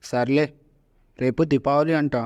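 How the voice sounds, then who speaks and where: A man's voice: medium pitch, flat delivery, unhurried, darkish tone, dry recording, muffled recording, lightly acted. A man voicing a childish, forgetful young warrior prince who wheedles cheerfully in a parody cartoon. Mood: childish pleading. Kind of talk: Telugu parody cartoon dubbing.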